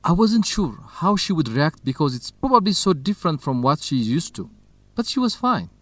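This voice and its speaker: An actor playing a frank, easygoing young university researcher in a radio drama, conversational. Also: male voice, fairly high pitch, sing-song, moderate pace, a bit bright, dry recording. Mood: candid.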